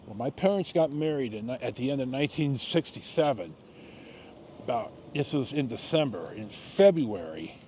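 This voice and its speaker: A man in his sixties with an American accent, telling personal stories casually, in a halting, rambling way with frequent pauses and repetitions. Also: mid-range voice, animated, dark tone, slight background noise. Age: 60s. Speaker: storytelling vlogger.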